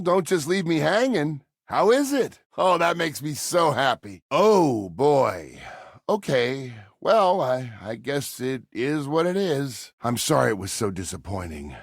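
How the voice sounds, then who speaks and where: A man voicing a folksy, eager-to-please ice cream server in a video game, with homespun, expressive delivery. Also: medium pitch, unhurried, no room sound, studio quality. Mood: crestfallen. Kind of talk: scripted video game character dialogue.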